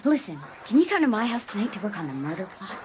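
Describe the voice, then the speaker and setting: An actress playing a resentful American high school senior girl in a dramatised audiobook. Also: very animated, quick pace, noisy background, close to an everyday voice. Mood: curious, chatty.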